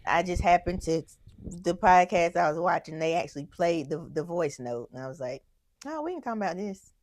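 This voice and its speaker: A soft-spoken woman co-hosting a podcast, chatting casually and giving brief replies. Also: on the low side, lively intonation, moderate pace, no echo. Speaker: podcast co-host. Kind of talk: casual chat.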